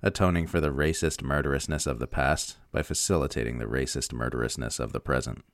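A man's voice: rumbling low, brisk, dry recording, clean studio sound. A man reading a scripted political opinion essay aloud in an emphatic, sardonic way. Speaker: narrator reading political commentary.

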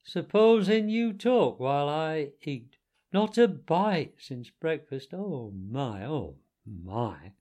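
A grumpy storybook mole, voiced by a man, raised in pitch and put-upon. He grumbles along emphatically, with a lively rise and fall. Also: slow pace. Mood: hungry, weary.

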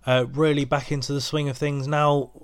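Brief: male podcast host with a head cold, nasal and stuffy, monotone chatty delivery, dry close-miked sound. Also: on the high side, moderate pace, clean tone, no room sound. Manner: rambling, many ums and restarts. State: bunged up with a cold. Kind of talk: casual solo podcast intro.

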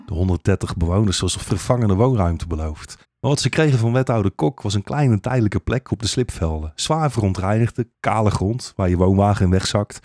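A man's voice: on the low side, animated, fairly quick, hardly any pauses, a little mellow, dry recording, clean studio sound. A man narrating a satirical fairy-tale radio play as a storyteller.

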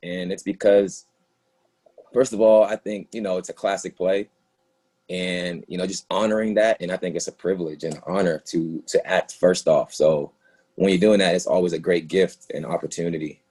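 A man's voice: low-pitched, steady tone, moderate pace, a little mellow, slightly rough. A man speaking as an actor in a casual, reflective discussion, earnest and rambling. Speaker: actor in a play reading, answering questions.

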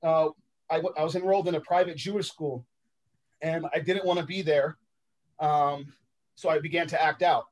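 A man from Los Angeles whose first language is sign language, telling his life story as a podcast guest in a reflective, halting way with many ums and uhs. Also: a little high, fairly even intonation, poor audio. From Los Angeles, United States.

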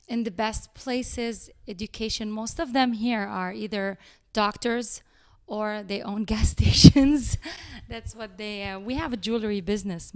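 A middle-aged woman from Lebanon with a Lebanese accent, chatting as a guest about her business, speaking haltingly with fillers and restarts. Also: medium pitch, a little mellow, smooth. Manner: halting, many fillers and restarts, nonstandard grammar. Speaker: guest, chocolate business owner. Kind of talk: casual interview chat.

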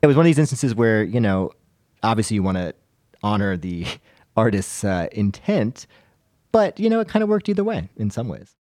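A man's voice: fairly low pitch, big pitch swings, normal speed, a little mellow, no room sound, studio quality. A man chatting casually as a podcast panelist, telling anecdotes in a conversational, self-deprecating way.

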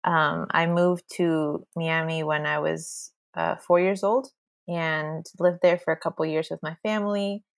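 A woman's voice: low voice, fairly even intonation, unhurried, gravelly, dry recording, clean studio sound. A young woman from Argentina, a podcast guest, chatting casually with lots of fillers. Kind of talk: casual conversation.

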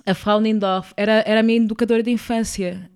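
Smooth-voiced young woman from Angola. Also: mid-range voice, fairly even intonation, fast, a little mellow. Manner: thoughtful, often restarts and adds tag questions. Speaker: guest. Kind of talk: reflective interview conversation.

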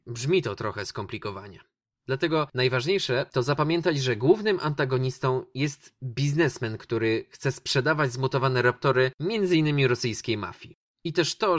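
Man, radio host, scripted film commentary, medium pitch. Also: fairly bright tone. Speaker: radio show host presenting films.

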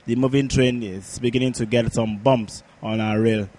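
Man, sports pundit, conversational analysis, mid-range pitch, halting, repetitive phrasing. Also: steady tone, a little mellow. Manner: halting, repetitive, searching for words.

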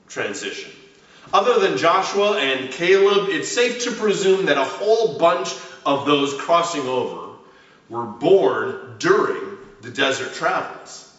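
Male preacher, prepared homily. Slow, measured delivery with lively pitch swings and emphatic stresses; heard in a very reverberant room. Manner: measured, deliberate phrasing with emphatic stresses and falling line endings. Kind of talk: prepared sermon. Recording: very echoey room, distant mic, slightly dull sound.

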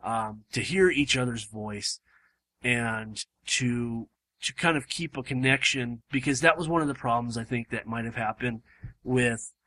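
Man, podcast host, hoarse fading voice, bright tone, rambling with fillers, casual chat. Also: mid-range voice, unhurried. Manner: rambling, with many fillers and restarts. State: hoarse, losing his voice. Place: home room.

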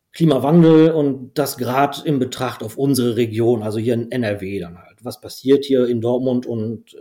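A man's voice: mid-range voice, dark tone. A man whose first language is Dutch, a podcast guest talking casually and rambling with many fillers. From Belgium. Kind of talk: casual conversation.

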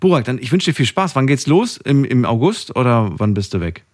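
A man hosting a late-night radio call-in show in German, chatty and given to laughing with his callers. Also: fairly quick. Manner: chatty, laughs often, lively pitch swings. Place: radio studio.

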